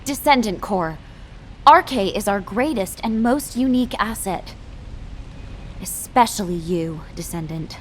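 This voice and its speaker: A woman's voice: medium pitch, lively intonation, a little slow, a little hiss or hum, natural voice. A woman playing a composed military officer in a sci-fi game, speaking in a formal, official manner. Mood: earnest. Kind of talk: formal scripted briefing.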